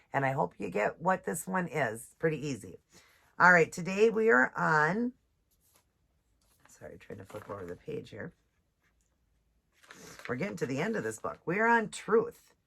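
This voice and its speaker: A woman with a low, stuffy voice, still getting over a cold. She chats casually to her viewers. She reads devotional lines at an unhurried pace. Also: creaky, a bit bright. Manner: chatty, reads scripture slowly, apologizes often. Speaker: nail and devotional vlogger. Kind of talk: casual chat with devotional reading. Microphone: ring light setup, camera close.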